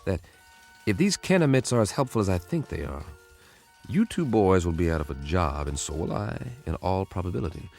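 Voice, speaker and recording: A wry male codebreaker in a radio drama, low and gravelly. His folksy, persuasive delivery swings widely in pitch, over a clear music bed. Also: lively intonation, fairly quick, darkish tone. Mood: earnest, confident. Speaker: lead actor and first-person narrator. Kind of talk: radio drama acting and narration. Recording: music underneath.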